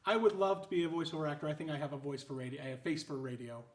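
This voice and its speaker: An interview host asking questions in casual chat, animated and joking, often restarting sentences. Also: medium pitch, racing, roomy sound, a loud crowd. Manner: animated, jokey, stumbling restarts.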